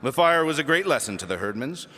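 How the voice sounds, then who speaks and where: A man's voice: fairly high pitch, sing-song, quick pace, sharp tone, long reverb tail, soft background music, low-quality recording. A man reading a children's book aloud as a storyteller, with theatrical, emphatic delivery. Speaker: audiobook reader.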